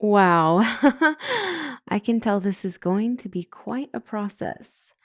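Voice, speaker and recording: A woman's voice: mid-range voice, sing-song, slow pace, no echo, clean studio sound, heavily acted. A woman playing a flustered, smitten couples counselor who stumbles and corrects herself in a comedic audio roleplay. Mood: composed. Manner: stammering, awkwardly self-correcting. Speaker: doctor and counselor.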